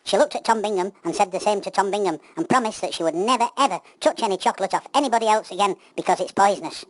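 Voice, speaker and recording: A woman's voice: medium pitch, quick pace, no echo. A woman telling a homely children's pantomime story.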